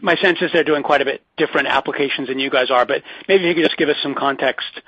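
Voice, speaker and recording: A man's voice: mid-range voice, quick pace, husky, no echo. A man speaking as a financial analyst, asking a conversational question on an earnings call. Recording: dry, dull phone call audio.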